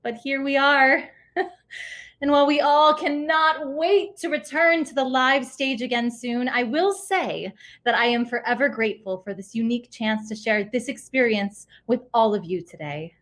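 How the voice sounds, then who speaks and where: Higher-pitched woman hosting an event. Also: fairly bright tone. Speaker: event host. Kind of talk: scripted hosting to camera. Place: at home in an apartment.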